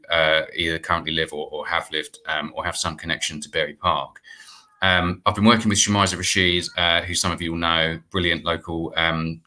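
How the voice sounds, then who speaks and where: A man's voice: sits low, sharp tone. A middle-aged British man explaining his work informally as an interview guest on a video call. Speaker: guest, playwright and producer. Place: indoor room on video call. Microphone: wired earphones.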